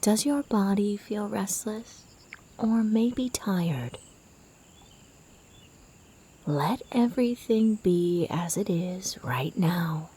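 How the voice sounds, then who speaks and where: Female meditation guide: mellow, half-whispered and breathy, gentle, soothing delivery at an unhurried pace. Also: medium pitch, lively intonation. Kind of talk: guided sleep meditation narration.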